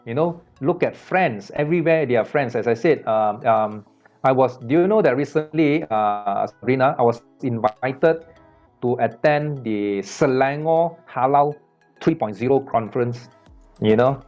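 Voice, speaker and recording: A man from Malaysia talking casually on a business panel, repeating words and filling pauses with "uh" as he goes. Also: mid-range voice, lively intonation, a little mellow, muffled recording, soft background music. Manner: halting, many repeated words and fillers.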